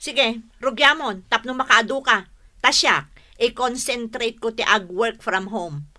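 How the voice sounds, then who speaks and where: A woman's voice: a little high, measured pace, pushed and bright. A woman playing a bossy, fed-up wife and mother in a comic radio drama, nagging and commanding, with an Ilocano accent.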